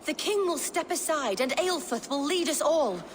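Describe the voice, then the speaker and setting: A woman's voice: high-pitched, animated, moderate pace, slight background noise. An actress playing a haughty, devout noblewoman with an indignant, imperious and theatrical manner. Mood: fervent. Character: haughty, devout noblewoman guarding her brother's secret. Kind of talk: dramatic video game acting.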